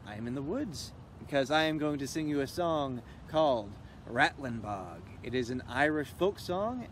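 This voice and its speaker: A man's voice: a little high, a little hiss or hum. A man playfully talking straight to children as an entertainer introducing a sing-along, recorded outdoors. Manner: playful, addressing children directly. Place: outdoors in the woods.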